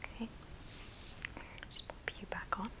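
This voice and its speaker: A woman speaking in a breathy, unvoiced whisper, gently presenting items in an ASMR show and tell with pauses between short phrases. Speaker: ASMR video host.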